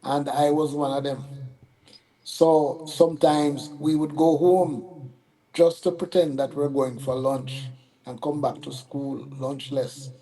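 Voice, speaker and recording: An older Jamaican man reminisces in a warm, mellow voice. He talks in a relaxed, rambling way, leaning on "you know" as he goes. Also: on the high side, slow, low-quality recording. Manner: relaxed storytelling with many "you know" fillers. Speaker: podcast guest, school alumnus. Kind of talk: casual interview.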